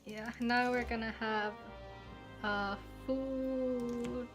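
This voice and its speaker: A woman's voice: fairly high pitch, fairly even intonation, drawn-out words. A woman game streamer chatting casually and playfully while she plays, joking along as she goes. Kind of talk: casual livestream chat while gaming. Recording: background music behind the voice. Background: music.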